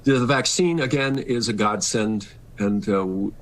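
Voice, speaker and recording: A man's voice: on the low side, measured pace. A middle-aged or older man, a stage actor, talking earnestly and expressively as an interview guest on a remote call. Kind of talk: casual reflective interview talk. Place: remote video call, not in the studio.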